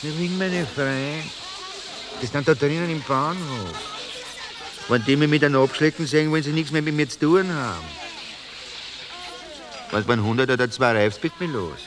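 An actor in a radio drama playing a proud, touchy street drifter, speaking blunt colloquial German with a Viennese accent. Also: male voice, a little high, normal speed, pauses often, close to an everyday voice. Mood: sullen. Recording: noisy ambient background.

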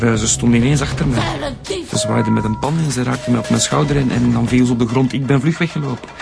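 Actor as a gravelly-voiced Flemish suspect, stammering, faint music under. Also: male voice, medium pitch, close to an everyday voice. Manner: hesitant, stammering confession. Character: nervous accomplice confessing theft. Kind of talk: radio drama acting.

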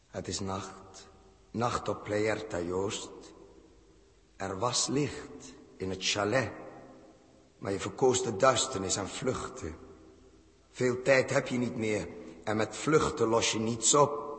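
Wounded captain, gravelly, agonisingly slow. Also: male voice, on the low side, a bit bright, dry recording, exaggerated voice. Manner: halting, anguished. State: groaning in pain. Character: wounded, feverish space captain lost and fleeing at night. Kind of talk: 1960s Dutch radio drama acting.

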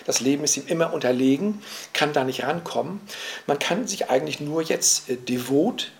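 A man arguing a point at length in a reflective, lecturing way, pausing and restarting often as he searches for words. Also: a little high, creaky. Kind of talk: reflective spoken argument, recorded for a radio production.

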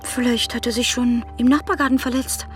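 Schauspielerin als naive junge Frau, hohe Stimme. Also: female voice, on the high side, fairly even intonation, fairly quick, soft background music, natural voice. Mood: hopeful. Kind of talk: German radio play acting.

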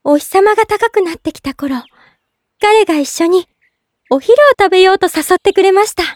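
Very high-pitched, gentle young woman in love. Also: normal speed, dry recording, studio quality. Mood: fond, serene. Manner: soft-spoken polite, earnest, sweet. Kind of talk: Japanese drama CD monologue, narrating in character.

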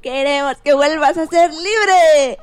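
A woman's voice: sits high, slow, slight background noise. A woman playing a kind, sensitive young schoolgirl in a children's radio play. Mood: excited. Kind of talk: children's radio drama acting.

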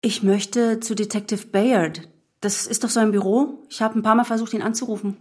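A woman's voice: mid-range voice, fairly even intonation, moderate pace, husky, natural voice. An actress playing a bereaved young woman in an audio drama, wounded and guarded, hesitant yet stubbornly insistent. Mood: hesitant, strained. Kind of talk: German audio drama acting.

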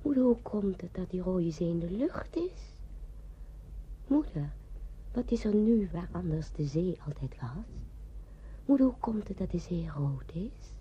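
A woman's voice: fairly low pitch, expressive, unhurried, mellow. An actress playing a grieving village mother in a radio drama, speaking solemnly, almost as an incantation. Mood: mournful, solemn. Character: grieving village mother who lost her child to the bomb. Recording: dull, faintly noisy old radio recording.

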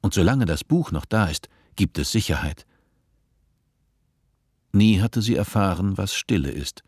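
A man narrating a scripted radio play in a measured, literary manner. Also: low voice, lively intonation, a little mellow, slightly rough, no echo, studio quality, full character voice.

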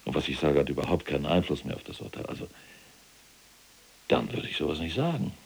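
An actor playing a cold, calculating SS general awaiting trial in a radio drama, speaking in a deliberate, formal, self-serving manner with a gravelly, husky voice. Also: male voice, very deep voice, animated, exaggerated voice.

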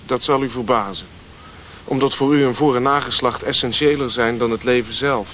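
An actor playing an aloof, solitary scholarly recluse in a radio play, speaking formally and with measure. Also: male voice, medium pitch, normal speed, a bit bright, lightly acted. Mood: aloof, defiant. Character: aloof, solitary Pedro Velho, scholarly Portuguese-descended recluse. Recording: noisy old radio play recording.

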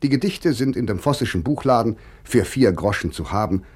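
A witty young man of letters, declaims in a husky voice for a radio feature. His pitch swings widely, and he phrases every clause with rhetorical emphasis. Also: animated, a little fast, a little mellow. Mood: mocking irony. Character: Lessing, sharp-witted mocking young male writer and critic. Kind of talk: dramatic reading of letters and essays in a German radio feature.

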